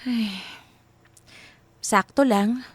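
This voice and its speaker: A woman's voice: a little high, fairly even intonation, drawn-out words, slightly rough, no room sound, full character voice. A woman playing a nervous, bashful young woman in a radio drama, flustered and hesitant as she hides her feelings. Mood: bashful, teasing. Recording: music bed under the voice.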